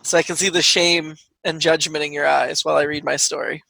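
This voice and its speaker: A man's voice: on the high side, level tone, brisk, vocal fry, sharp tone, no room sound, poor audio. A man reading a scripted comic story aloud to listeners, as its writer and performer. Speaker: comedy troupe writer reading own story.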